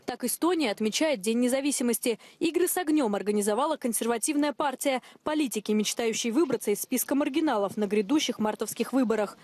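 A young woman reading a scripted news report as a correspondent, brisk and pointed. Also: fairly high pitch, darkish tone, no echo.

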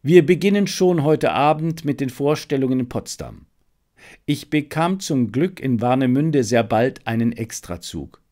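A man's voice: medium pitch, lively intonation, measured pace, dark tone, natural voice. A male audiobook reader voicing a businesslike menagerie owner who reports in a matter-of-fact manner. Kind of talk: audiobook character dialogue.

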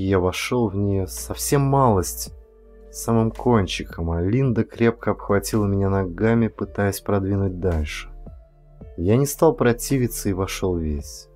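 A man's voice: low-pitched, normal speed, darkish tone. A man reading a first-person story as a scripted, dramatic audiobook narration. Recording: music under the voice. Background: music.

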